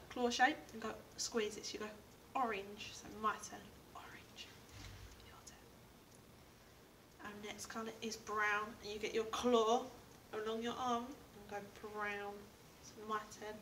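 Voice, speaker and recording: Young female primary teacher, bright delivery, clear simple prompts for children, recorded indoors on a distant camera mic. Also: fairly high pitch. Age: young adult. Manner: simple step-by-step instructions with repeated prompts. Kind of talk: teaching signs and reading a picture book to young children. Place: indoors in a school reading corner. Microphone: camera a few feet away. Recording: distant mic with background room noise.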